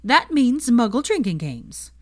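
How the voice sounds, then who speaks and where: A woman voicing an excitable, sassy young witch socialite in a storybook reading. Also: fairly high pitch, unhurried, pitched above the performer's own voice. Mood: excited.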